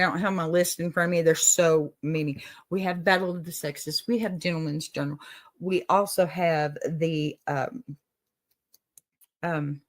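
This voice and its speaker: A middle-aged woman with a Texas accent hosting a livestream advice show, talking in a folksy, motherly way to her viewers. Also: low-pitched. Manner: folksy and motherly, calls listeners honey and y'all. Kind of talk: warm encouraging livestream advice talk.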